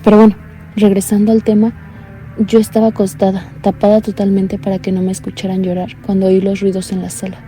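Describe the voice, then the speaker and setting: A woman's voice: monotone, fairly quick, mellow, soft background music, lightly acted. A woman playing an uneasy blind young caller. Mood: anxious. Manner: low, earnest, uneasy confiding. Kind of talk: radio drama acting.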